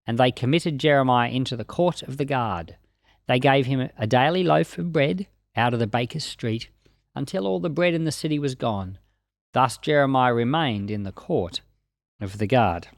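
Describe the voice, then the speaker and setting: A man's voice: medium pitch, vocal fry, no room sound, clean studio sound. A man reading and explaining scripture as a Bible teacher, in a plain-spoken, explanatory way. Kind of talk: scripture reading and informal commentary.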